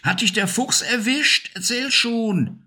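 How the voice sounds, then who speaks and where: Guy doing a soft little night critter, high voice, kind and patient, slow. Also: expressive. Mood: alarmed. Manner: soothing and patient. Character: calm, gentle male nocturnal animal. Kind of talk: storybook reading.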